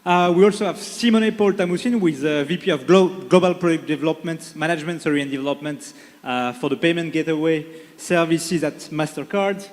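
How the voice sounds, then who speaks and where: A man opens a conference panel, upbeat and halting, with frequent fillers. His voice sits a little high. It rings out with the long reverb of a large hall. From France. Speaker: panel moderator.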